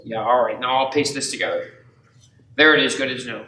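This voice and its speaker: A man's voice: mid-range voice, brisk, pushed and bright, natural voice. A man playing a harried, short-tempered family man in a radio play, agitated and urgent. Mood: gently weary. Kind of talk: audio-only radio play acting. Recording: echoey room, faint noise.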